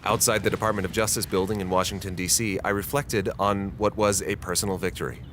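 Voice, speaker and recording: Man acting a regretful male journalist, very low voice, subdued, radio play, slight rumble behind. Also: fairly even intonation, natural voice. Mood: somber, reflective. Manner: halting, awkward in conversation, measured when narrating. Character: subdued, regretful male American journalist filing his last report. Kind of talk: radio drama narration and dialogue.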